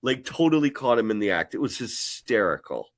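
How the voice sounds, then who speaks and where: A middle-aged man chatting casually and jokingly, telling things in a playful, animated way. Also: medium pitch, fairly quick, no echo.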